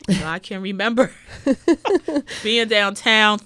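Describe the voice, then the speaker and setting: A middle-aged woman talks on a local radio show, sharing fond memories in a soft, heartfelt manner. Her voice sits in a middle register. The studio sound is close and dry, with no room around it. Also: medium pitch, animated, takes it slowly, no room sound. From United States. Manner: heartfelt, reminiscing, soft-spoken. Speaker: radio co-host. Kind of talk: casual radio chat.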